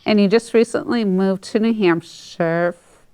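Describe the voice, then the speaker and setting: A woman's voice: medium pitch, unhurried, darkish tone, clean tone. A woman hosting an interview in a casual, chatty, informal way. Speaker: interview host.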